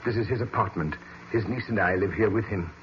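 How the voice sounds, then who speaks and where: An actor playing a polite, deferential butler with a formal manner in an old-time radio drama. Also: male voice, fairly low pitch, brisk, natural voice. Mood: deferential, proper. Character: polite, deferential male butler of a wealthy household. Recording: muffled, hissy vintage radio recording.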